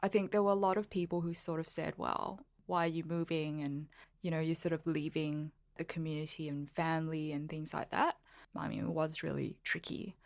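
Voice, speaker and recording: Low-pitched woman from Singapore, chatting reflectively. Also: flat delivery, fairly quick, creaky. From Singapore, moved to Melbourne at thirteen. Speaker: podcast guest, lawyer and blogger. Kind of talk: casual interview chat.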